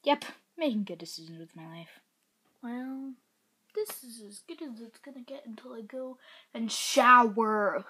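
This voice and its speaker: A woman's voice: on the high side, lively intonation, a little slow, bright, forceful tone, breathy edge. A young woman vlogging casually from her bedroom, rambling and self-mocking, often dropping to a whisper, a little congested, filmed on a phone held at arm's length. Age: young adult. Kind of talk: casual vlog chat. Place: bedroom, indoors. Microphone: phone camera at arm's length.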